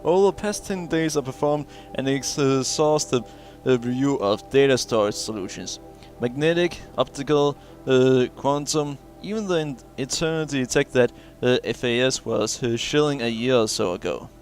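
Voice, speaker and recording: Man reading game logs aloud haltingly. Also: medium pitch. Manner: halting read-aloud with many "uh" fillers and chuckles. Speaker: gamer playing through a game. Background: faint game music.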